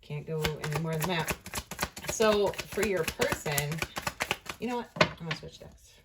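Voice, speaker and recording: A woman giving a casual, unscripted tarot reading, talking conversationally and thinking aloud with pauses as she goes. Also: sits low, lively intonation, very noisy. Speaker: tarot reader hosting an online reading.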